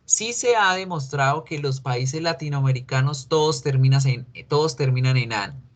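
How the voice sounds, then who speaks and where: A middle-aged man with a Colombian Spanish accent leads an English lesson in a voice of middling pitch. He switches between Spanish and English and goes over the important points twice. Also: moderate pace, highs cut off. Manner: repeats key points, mixes Spanish and English. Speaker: English teacher. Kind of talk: recorded online class.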